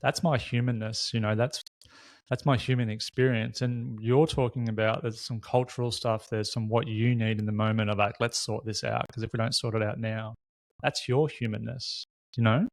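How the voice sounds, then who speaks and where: A man's voice: on the low side, steady tone, moderate pace, no room sound. A man hosts a reflective, unscripted conversation, speaking candidly and casually in short, considered bursts. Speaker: podcast host.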